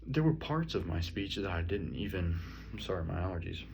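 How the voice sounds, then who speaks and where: A young man from the country with a slight rural twang and a very deep voice, talking slowly and haltingly through a reflection on his own speech, full of ums and restarts. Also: very animated, creaky, a little mellow, a little hiss or hum. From rural area. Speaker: student. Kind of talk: spoken self-reflection on a speech. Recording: dull lo-fi recording.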